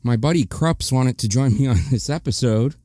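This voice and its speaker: A man's voice: mid-range voice, normal speed, warm tone, no echo. A young man hosting his own podcast, talking casually and candidly, rambling and restarting his sentences. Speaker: podcast host. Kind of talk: casual solo podcast monologue.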